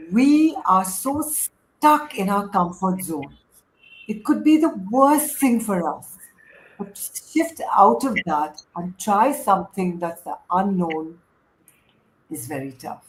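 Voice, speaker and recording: A woman's voice: medium pitch, very animated, slow pace. A middle-aged woman with an Indian accent hosting a livestream, speaking emphatically and often stacking phrases into lists as she tells personal stories. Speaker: host, life coach. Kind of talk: casual livestream talk, personal storytelling. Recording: clearly noisy room sound.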